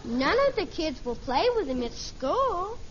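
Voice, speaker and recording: A woman's voice: high-pitched, very animated, a little slow. An actress playing an earnest, blunt little American girl in a 1950s radio sitcom. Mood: matter-of-fact. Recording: muffled, noisy old radio recording.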